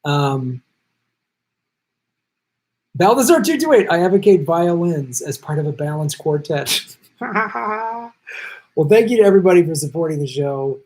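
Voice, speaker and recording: Guy hosting a livestream, voice going hoarse, casual chatter reading comments. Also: fairly high pitch, very animated, darkish tone. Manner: chatty, thanks viewers by name, quick asides. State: losing his voice, jet-lagged. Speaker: livestream host. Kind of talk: casual live chat reading viewer comments.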